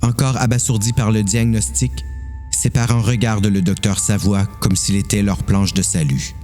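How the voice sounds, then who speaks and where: A man narrates an audio drama story. His voice is soft-edged and dark, pitched a little low, with faint music underneath as he reads. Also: steady tone, moderate pace.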